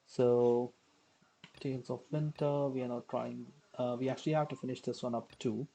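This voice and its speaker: A woman streaming a game with casual, rambling, unhurried live commentary. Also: extremely low pitch, fairly even intonation, vocal fry, mellow. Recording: faint game music behind.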